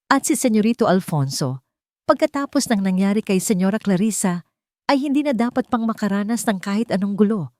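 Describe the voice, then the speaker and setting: A woman narrating a scripted romantic drama in an earnest, sentimental way with dramatic pauses. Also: mid-range voice, expressive, a little mellow, no echo, clean studio sound. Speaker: narrator of romantic audio stories.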